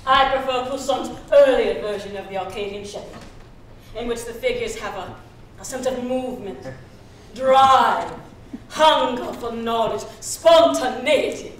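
A woman acts a defiant, scornful woman in a stage drama. Her high, theatrical voice is slow and deliberate, echoing strongly around a large hall. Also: lightly acted. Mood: mocking. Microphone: far from the speaker on stage. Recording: echoey hall, distant mic, faint noise.

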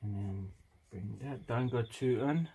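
A man's voice: mid-range voice, a little slow, vocal fry, mellow, slightly rough, muffled recording. Rambling young man cutting hair. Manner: rambling, joking, swears offhand. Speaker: barber. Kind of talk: casual step-by-step tutorial while cutting hair. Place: inside a parked van.

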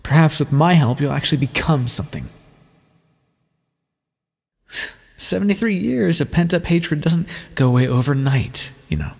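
A man playing an aloof, teasing vampire scientist in an ASMR roleplay, speaking wryly and formally with a gravelly voice. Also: fairly high pitch, normal speed, pauses often, darkish tone, big-room sound. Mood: cynical, disdainful. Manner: wry, condescending, formal wording with pet names. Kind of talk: ASMR roleplay, scripted intimate monologue to the listener.

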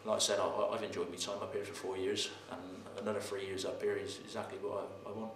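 Low, flat-voiced footballer, roomy sound. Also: male voice. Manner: halting, repeats words, many ums. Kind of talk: post-match interview. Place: press room in front of sponsor board. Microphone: camera mic, head and shoulders framing. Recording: roomy with faint noise.

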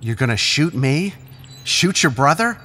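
An actor playing an anguished, defiant brother and reluctant accomplice in an audio drama, pleading and emphatic. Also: male voice, on the high side, animated, measured pace, pushed and bright, a little hiss or hum. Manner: pleading, emphatic, stammering when upset. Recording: long cavernous reverb.

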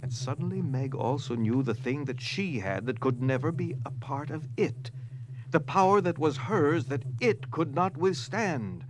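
A man's voice: fairly high pitch, lively intonation, unhurried. A man narrating an audio drama from a script in a dramatic storytelling style. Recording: noisy ambient sound behind.

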